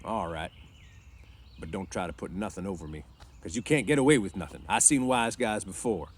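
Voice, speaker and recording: Man playing gruff, suspicious ranch boss, animated pitch. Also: normal speed. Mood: stern warning. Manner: gruff, interrogating, slangy. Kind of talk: storybook read-aloud.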